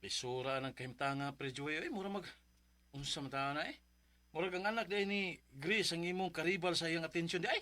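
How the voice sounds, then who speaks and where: Easygoing friend, slightly high voice. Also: male voice, a little fast, sharp tone, a little hiss or hum. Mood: sympathetic. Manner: casual, counseling. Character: easygoing male friend giving advice. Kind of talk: Bisaya radio drama acting.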